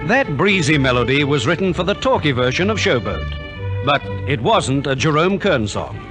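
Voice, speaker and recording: Lively, theatrical male radio narrator. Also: on the high side, normal speed, music in the background. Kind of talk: 1950s radio scripted narration.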